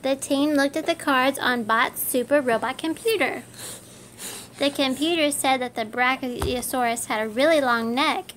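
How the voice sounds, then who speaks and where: Woman, high bright voice, animated storybook read-aloud, encouraging, faint background noise. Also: moderate pace. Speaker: parent reading a picture book aloud to a child.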